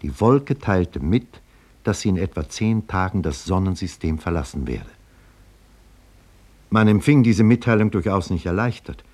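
A man with a husky voice narrating a science fiction tale soberly in a 1950s German radio drama. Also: sits low, expressive, normal speed, darkish tone. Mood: sober, grave.

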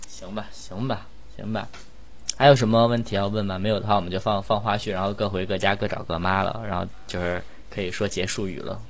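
A man's voice: fairly low pitch, fairly even intonation, fast, vocal fry, a little hiss or hum. A man hosting a casual group chat in an informal, rambling way, often repeating his phrases. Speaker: host of a cast chat session for an audio drama. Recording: music playing behind the voice. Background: background music.

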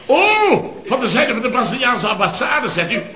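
An actor playing an exasperated boss of a contracting firm, grumbling and ranting sarcastically in an old radio comedy. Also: male voice, very high-pitched, very animated, normal speed, bright, forceful tone, slight background noise, full character voice. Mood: incredulous. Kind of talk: 1970s Dutch radio comedy acting. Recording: dull archive radio recording, echoey, audience laughter.

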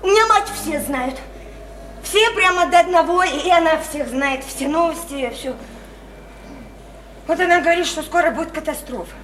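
Very high-pitched, naive small-town woman. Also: moderate pace, long pauses, a bit bright. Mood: earnest, pleading. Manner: rambling, insistent, simple folksy speech. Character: naive, sickly, chatty small-town woman living at home. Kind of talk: stage-style radio drama acting. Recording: roomy echo, faint noise.

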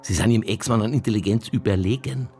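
An actor playing a dogged, sardonic police detective in a radio drama, with a Bavarian accent and a gravelly voice. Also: male voice, lowish voice, quick pace, warm tone, faint music behind. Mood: accusing. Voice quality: gravelly, husky. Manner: dry, needling, insistent. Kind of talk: naturalistic radio drama dialogue.

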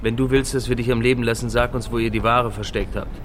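A man's voice: mid-range voice, steady tone, fast, over music, natural voice. A man playing a terse, threatening interrogator in a literary audio drama. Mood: cold menace. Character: threatening male interrogator hunting stolen goods.

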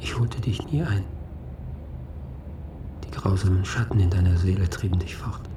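A haunted lover played by a man speaks in a very low, hushed voice, halting and intimate. It is a radio drama monologue with a clear rumble behind the distant voice. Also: close to an everyday voice. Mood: wistful, grieving. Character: grieving lover named Alban, tender, haunted inner monologue. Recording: distant, echoey, loud rumbling noise.

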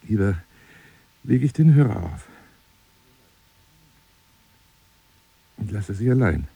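Obsessive male phone seducer, radio drama, dark husky voice, widely swinging intonation, grandiose, wounded, menacing, florid formal phrasing. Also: lowish voice, expressive, normal speed, no room sound, exaggerated voice. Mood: offended, scornful. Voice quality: husky, breathy, often dropping to a whisper. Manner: theatrical, florid, formal address, swinging from pleading to scornful.

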